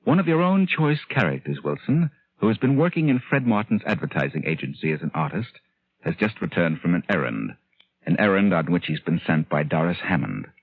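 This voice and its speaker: An actor playing a smug, sinister, theatrical plotter with a husky voice in a 1940s radio drama. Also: male voice, sits low, very animated, full character voice. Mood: brisk, sinister. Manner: deliberate, theatrical, knowing. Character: smug, sinister male plotter guiding a murder story. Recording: muffled, tinny 1940s radio recording with music.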